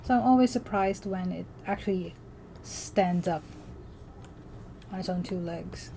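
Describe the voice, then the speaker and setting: Mellow-voiced woman chatting while drawing. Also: lowish voice, unhurried, vocal fry, a little hiss or hum. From Philippines. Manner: rambling, chatty, many fillers and restarts. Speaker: artist streaming while drawing. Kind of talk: casual livestream chat.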